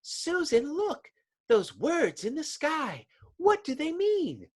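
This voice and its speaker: A man's voice: very high-pitched, wildly swooping pitch, slow, voice pushed up, full character voice. A man voicing a flustered, panicky society woman in a comic-book reading. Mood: alarmed.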